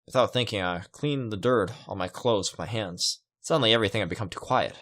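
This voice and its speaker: A man's voice: fairly low pitch, brisk. A man reading a visual novel aloud and adding his own comments, sometimes stumbling over the words. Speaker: video game playthrough host. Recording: loud piano music behind. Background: piano game music.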